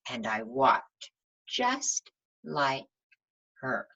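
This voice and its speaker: Woman, low voice, very animated intonation, kindergarten storybook read-aloud, simple child-directed phrasing. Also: drawn-out words, lo-fi sound. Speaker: kindergarten teacher reading aloud.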